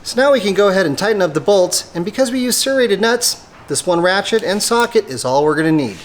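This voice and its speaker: A man's voice: high-pitched, lively intonation, fast, over loud music. A man presenting a methodical, step-by-step hands-on installation tutorial for a product he made. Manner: methodical, explanatory. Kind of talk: step-by-step hands-on how-to demonstration.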